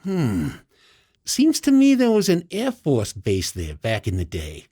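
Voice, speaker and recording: A folksy older American cook in a radio drama. His pitch swings dramatically as he talks in a humble, plain-spoken, friendly way. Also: huge pitch swings, measured pace, warm tone, husky, full character voice. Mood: modest, hesitant. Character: folksy, modest male diner cook, army veteran.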